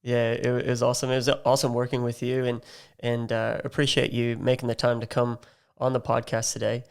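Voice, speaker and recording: An Australian man with a mid-range voice and a strongly gravelly edge, hosting a podcast interview. He talks in a friendly, loose way, with lots of fillers. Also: medium pitch, completely flat delivery, brisk. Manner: friendly, laughs easily, many fillers. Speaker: podcast host. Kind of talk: casual interview chat.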